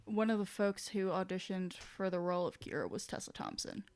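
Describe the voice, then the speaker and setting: A woman's voice: fairly low pitch, fairly quick, a little mellow. A woman co-hosting a podcast, chatting in a loose, informal, conversational manner. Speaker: podcast co-host. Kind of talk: casual chatty discussion.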